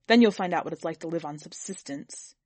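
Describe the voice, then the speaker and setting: Audiobook husband, played by a woman: pitched down, sour, gloomy, belittling, short-tempered, very dry close recording. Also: normal speed, darkish tone, no echo. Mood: gloomy, boastful. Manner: gloomy, brutal, complaining. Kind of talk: dramatic audiobook character reading.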